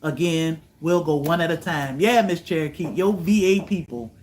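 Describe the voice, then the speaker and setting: A man's voice: a little high, normal speed, a little hiss or hum. Laid-back man on a livestream. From Virginia, USA. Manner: relaxed and chatty, voice often trailing off softly. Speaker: homestead channel host. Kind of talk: livestream chat answering viewers.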